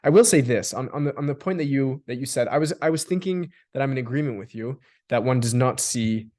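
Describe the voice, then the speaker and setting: A man's voice: mid-range voice, a little fast, darkish tone. Thoughtful man with many restarts. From Australia. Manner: thoughtful, restarts and repeats words while building long sentences. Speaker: guest. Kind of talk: reflective conversational discussion.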